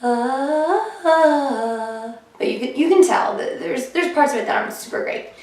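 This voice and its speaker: A woman comedian chatting casually in a vlog about her shows, her voice a little hoarse and often clearing her throat. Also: high-pitched, lively intonation, measured pace, a little mellow. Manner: chatty and upbeat, with fillers. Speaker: comedian vlogging her diary. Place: living room of a flat, indoors. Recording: echoey room, heard from a distance.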